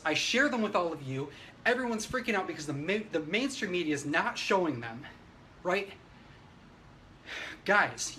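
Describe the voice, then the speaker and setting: High-voiced man on a brash livestream rant. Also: pushed and bright. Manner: brash, opinionated, frequent swearing; emphatic, agitated delivery. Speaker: livestreamer giving political commentary. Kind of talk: unscripted ranting livestream, reading viewer comments. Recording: noisy livestream audio.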